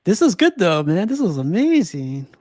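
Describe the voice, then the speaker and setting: A man's voice: high voice, very animated, normal speed, mellow, dry recording. A man hosting a casual stream chat, enthusiastic and laughing often, calling his guest "man" a lot. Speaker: stream host.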